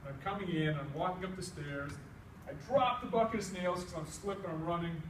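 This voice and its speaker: A middle-aged American man tells a comic tall tale live, in a folksy, animated way, acting out both sides of the dialogue. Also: high voice, brisk. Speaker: storyteller. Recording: echoey room, distant mic, faint noise.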